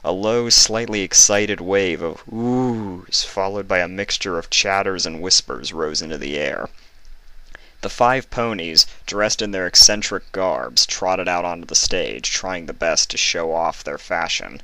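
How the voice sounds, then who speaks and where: A man who reads fan fiction, moving between casual introduction and expressive story narration. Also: deep voice, a little slow, dry recording, poor audio.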